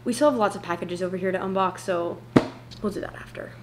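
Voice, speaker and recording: A woman's voice: medium pitch, fast talker. A woman in her twenties talking casually to camera in a chatty, slangy way, as in a home vlog. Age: 20s. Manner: chatty, informal, slangy asides. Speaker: vlogger. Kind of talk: casual vlog chat, try-on haul. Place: indoors, open-plan home kitchen and living area. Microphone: camera mic a few feet away. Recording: roomy echo with noticeable background noise.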